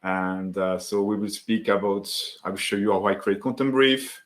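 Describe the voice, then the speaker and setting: A man with a French accent talks through a live screen-share webinar. He speaks over a computer mic in a slightly low voice, greeting viewers by name and filling pauses with "uh" and "um" as he explains. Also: soft background music. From France. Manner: friendly, greets chat viewers by name, many fillers. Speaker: software founder hosting a live webinar.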